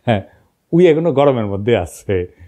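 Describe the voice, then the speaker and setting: A man's voice: mid-range voice, very animated, moderate pace, warm tone. A middle-aged man with a northern Bangladeshi accent, giving informal, mocking political commentary full of stories and rhetorical questions. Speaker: political commentator, online host.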